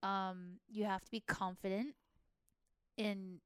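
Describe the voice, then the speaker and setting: A soft-spoken young woman, a racing driver, talking modestly and hesitantly as a podcast guest. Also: mid-range voice, fairly even intonation, takes it slowly, clear, resonant tone, no room sound, clean studio sound. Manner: modest, hesitant, many fillers. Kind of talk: casual podcast conversation.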